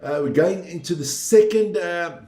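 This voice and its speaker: A man's voice: high-pitched, moderate pace, mellow. A man of around fifty, talking off the cuff on a sports livestream in a blunt, opinionated way, often swearing and tagging sentences with "man". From South Africa, later Switzerland. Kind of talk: casual off-the-cuff livestream talk with some race commentary. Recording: roomy, echoing room sound.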